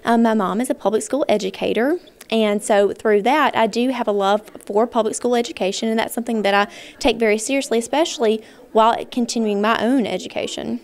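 A woman's voice: fairly high pitch, brisk. A young woman from Mississippi introducing herself as a pageant contestant in a poised, sincere way. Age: young adult. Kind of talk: casual self-introduction.

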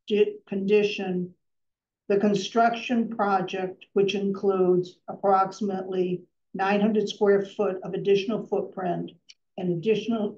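A middle-aged woman chairing a town zoning board, reading out motions slowly and carefully in a formal tone. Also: mid-range voice, warm tone. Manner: measured, reads legal text slowly and carefully. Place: town hall meeting room. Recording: distant, dull echoey public-access meeting audio.

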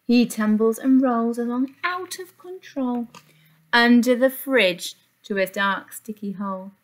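A woman's voice: on the high side, unhurried. A woman reads a picture book to young children with sing-song emphasis, like an infant teacher. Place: small indoor classroom.

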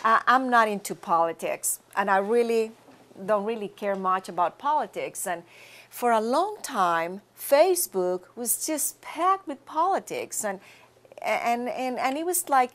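A middle-aged woman hosting a friendly TV interview in a studio living-room set, unhurried, repeating words and laughing often. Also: a little high, animated, a bit bright. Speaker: TV interview host.

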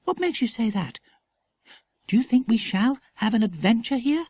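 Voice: mid-range voice, sing-song, moderate pace, a little mellow, husky, pitched above the performer's own voice. A storyteller voicing Lucy Ann, a timid little girl who asks surprised questions.

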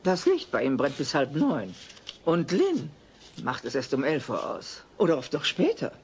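A nervous, nosy neighbour woman, pries and gossips in a sitcom audio drama. Her fussy delivery moves widely in pitch. The old cassette sound has clear noise. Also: wildly swooping pitch, moderate pace. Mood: prying. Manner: fussy, prying.

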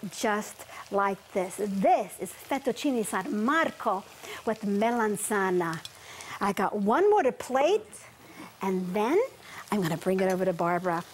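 A woman's voice: medium pitch, slight background noise, low-quality recording. A woman in her fifties, a guest chef demonstrating a recipe in a TV studio kitchen, enthusiastic and sprinkling in Italian words. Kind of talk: cooking demonstration.

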